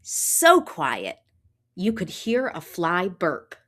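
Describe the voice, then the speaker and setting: A woman reading a children's picture book aloud, with expressive, drawn-out storytelling and sound effects. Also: on the low side. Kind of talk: animated children's read-aloud narration.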